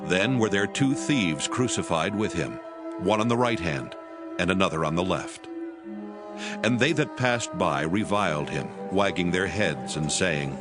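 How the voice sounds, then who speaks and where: Deep solemn male scripture narrator. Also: lively intonation, music in the background. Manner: solemn, measured reading.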